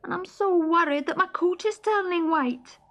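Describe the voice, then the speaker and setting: A woman's voice: extremely high pitch, normal speed, fairly bright tone, pitched above the performer's own voice. A woman voicing a small, worried young male stoat in a children's storybook, anxious and fretful.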